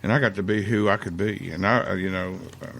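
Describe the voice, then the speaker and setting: Low, gravelly man. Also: fairly even intonation, quick pace, a bit bright, slight background noise. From Middle Tennessee. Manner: rambling, many fillers. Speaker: podcast co-host. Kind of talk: casual chat.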